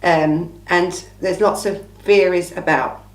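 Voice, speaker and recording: A woman from England hosting a casual true crime Q&A, her voice croaky from a cold, talking in a blunt, chatty, opinionated way. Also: fairly low pitch, fairly even intonation, takes it slowly, echoey room, distant mic. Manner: chatty, blunt, opinionated, says "listen" often. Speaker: true crime channel host. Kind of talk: casual Q&A monologue.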